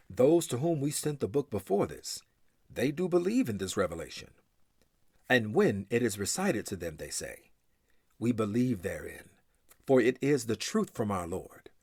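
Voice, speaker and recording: A man reading scripture aloud in a solemn, formal and measured recitation style. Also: mid-range voice, no room sound, studio quality. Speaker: scripture reader.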